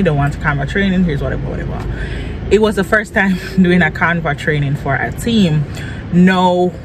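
A man talking casually and earnestly in a motivational vlog, repeating phrases for emphasis. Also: on the high side. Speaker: small business vlogger and design trainer. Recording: clear vehicle and outdoor noise.

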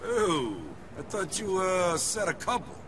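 A deferential gangster henchman in a game cutscene. His voice is sharp and fairly hesitant, with faint ambience behind it. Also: high-pitched, animated, unhurried, slight background noise. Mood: deferential, let down. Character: loyal, deferential mob henchman. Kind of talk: video game cutscene acting.

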